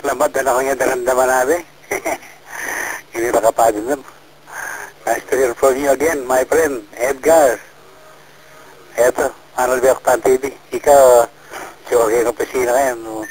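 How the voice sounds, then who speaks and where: A man's voice: medium pitch, steady tone, normal speed, a little mellow. A man with a Filipino accent in casual, joking chat as an amateur radio operator on a slightly noisy link.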